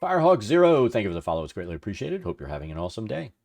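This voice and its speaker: Deep-voiced man chatting on a livestream. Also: sing-song, very fast, gravelly, a little mellow. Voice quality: deep radio-like voice. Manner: rambling, informal, thinking aloud. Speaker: livestream host. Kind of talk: casual chat with stream viewers while working.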